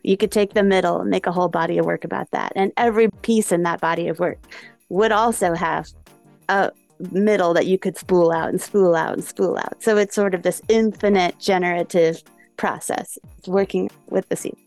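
A woman hosting a podcast in an informal, animated way, full of small asides and casual conversational phrasing. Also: fairly low pitch, moderate pace, vocal fry, soft background music. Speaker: podcast host.

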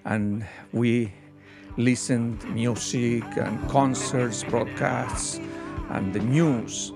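An older man from Argentina, recounting his childhood as a guest in an interview with soft laughs. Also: mid-range voice, fairly even intonation, gravelly, over loud music. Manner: unhurried, warm recollection with soft laughs. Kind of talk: interview storytelling.

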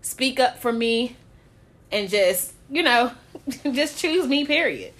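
Woman, candid, swears freely, laughs often, fairly high voice, casual talk. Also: animated, slow pace, fairly bright tone. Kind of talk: casual podcast chat.

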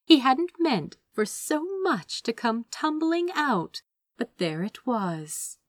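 A woman reading a story aloud as expressive scripted narration. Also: fairly high pitch, slow, no room sound, clean studio sound. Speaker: fan fiction audiobook reader.

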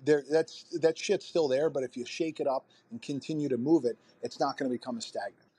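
A man in his forties, a fitness coach hosting a podcast, talking in an energetic, profane, rambling way. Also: medium pitch, a little fast, slightly rough, dry recording. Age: 40s. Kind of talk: casual podcast conversation.

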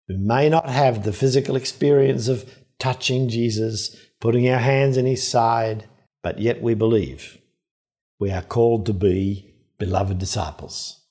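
A man with a low, mellow voice preaches at an unhurried pace. He is earnest and measured, and he dwells on each phrase as he reflects on scripture. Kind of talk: reflective Easter homily.

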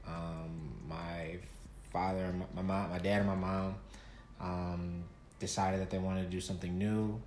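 A man in his thirties from Nigeria, a guest talking casually about his life, relaxed, with many fillers and restarts. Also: low-pitched, unhurried. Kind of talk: casual interview storytelling. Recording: echoey room with faint noise.

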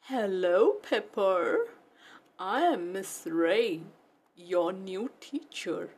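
A woman's voice: mid-range voice, lively intonation, drawn-out words, a little mellow, slightly rough, voice pushed down, full character voice. A woman playing a friendly, encouraging schoolteacher of small children in a storybook, cheerful and inviting. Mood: welcoming. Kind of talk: children's storybook read-aloud.

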